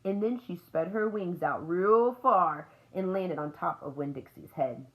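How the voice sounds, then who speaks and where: A woman reading a children's book aloud as an expressive storyteller. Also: on the low side, a little slow, rough recording.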